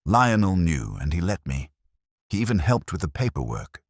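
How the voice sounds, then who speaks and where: A man voicing an anxious, resigned retired schoolmaster in a story, with an earnest, confessional manner and a baritone voice. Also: very animated, moderate pace, no room sound, clean studio sound.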